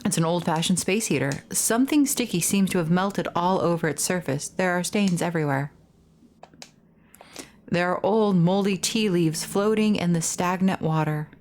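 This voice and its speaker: Lady streaming a game, lower voice, reads the text out all dramatic, bit of noise behind her. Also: a little slow, pauses often, darkish tone. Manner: reads dialogue theatrically between casual asides. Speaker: streamer reading game dialogue aloud. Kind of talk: let's play narration, reading on-screen text aloud.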